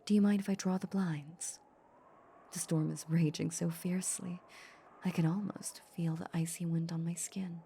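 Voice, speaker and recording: A woman playing a seductive, possessive female vampire in a breathy, whispered ASMR audio roleplay, lingering and teasing on her words. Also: on the low side, fairly even intonation, normal speed, mellow, a little hiss or hum, close to an everyday voice. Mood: coolly polite. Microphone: close microphone.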